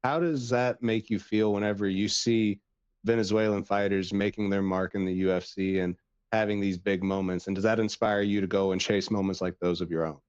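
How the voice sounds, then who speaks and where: A man's voice: fairly low pitch, steady tone, brisk, a little mellow, clean tone, no room sound. A man co-hosting a podcast, talking casually through fight analysis and interview questions, on a lo-fi microphone. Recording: dull, lo-fi microphone audio.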